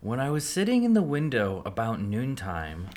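A woman's voice: rumbling low, huge pitch swings, moderate pace, warm tone, voice pushed down, exaggerated voice. An earnest toy doll from a children's storybook, acted out by a woman reader. The character sounds helpful and sincere, eager to share what it knows. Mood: concerned.

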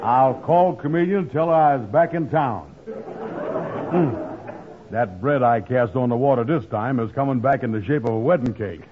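A man playing a gullible, soft-hearted, grumbling fellow in a 1940s radio comedy, with hesitant, folksy, ungrammatical speech. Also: medium pitch, normal speed, lightly acted. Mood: pleased. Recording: muffled, noisy old radio broadcast.

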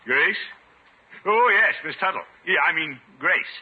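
An actor playing a blustering, jealous, middle-aged comic suitor in a 1950s radio comedy, grumbling and excitable. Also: male voice, on the high side, measured pace, slightly rough. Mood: giddy, eager. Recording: muffled old radio recording, music.

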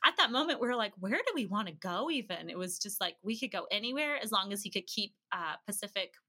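The chatty guest on a lifestyle podcast, a woman with a bright, forward voice, swapping casual anecdotes. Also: mid-range voice, lively intonation, fast. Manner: chatty, laughs easily. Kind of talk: casual anecdotal storytelling in an interview.

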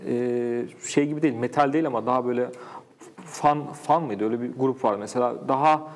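Halting male host with long reverb. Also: mid-range voice. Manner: halting, searching for words, many false starts. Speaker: interview host. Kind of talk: casual unscripted conversation. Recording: echoey hall-like reverb.

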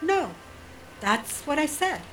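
A woman's voice: high-pitched, very animated, takes it slowly, over music, heavily acted, poor audio. A woman playing a polite, guarded middle-aged friend with something to hide, speaking hesitantly in an audio drama. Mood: flustered, defensive. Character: polite, guarded woman hiding an affair from her old friend.

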